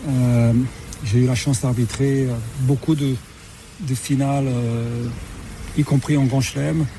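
A man's voice: medium pitch, little pitch movement, measured pace, warm tone, slight background noise, low-quality recording. A middle-aged man from Portugal, a tennis referee being interviewed, talking with many hesitations and restarts. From Portugal, born in Mozambique, raised in Lisbon. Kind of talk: radio interview, recounting career.

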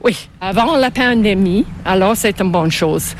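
An older woman from Canada, a native English speaker with an English Canadian accent, answering interview questions in halting second-language speech. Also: medium pitch, expressive, slight background noise. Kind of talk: casual conversational interview.

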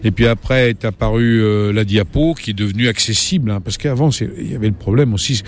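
A man in his late sixties with a fairly low voice recalls his travels in an unhurried interview. He hesitates often, fills gaps and restarts his phrases. A faint hum of ambient noise sits behind him. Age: 60s. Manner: hesitant, many fillers and restarts. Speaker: travel reporter and photographer. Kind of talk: relaxed interview answers.

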